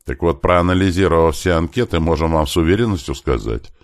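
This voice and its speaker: A man's voice: low voice, a little fast, no echo, clean studio sound, voice pushed up, close to an everyday voice. A man voicing an earnest male professor in an audiobook, explaining things politely and with emphasis. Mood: earnest, emphatic.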